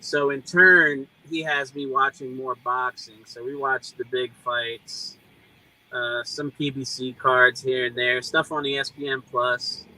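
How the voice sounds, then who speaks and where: Bright-voiced easygoing American man. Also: medium pitch, steady tone, unhurried, low-quality recording. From United States. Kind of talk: casual chat.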